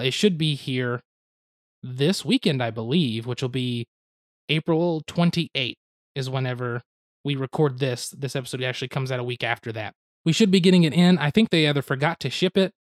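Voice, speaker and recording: A slightly hoarse man from Oklahoma, co-hosting a podcast in easygoing, rambling casual chat. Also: mid-range voice, dry recording, clean studio sound. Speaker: podcast co-host.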